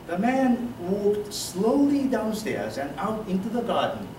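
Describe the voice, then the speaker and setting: A woman's voice: medium pitch, sing-song, measured pace. A young woman narrating a scripted story in a readers theatre performance. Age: young adult. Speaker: student narrator. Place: large indoor symposium room, recorded from afar. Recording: distant echoey hall, clear background noise.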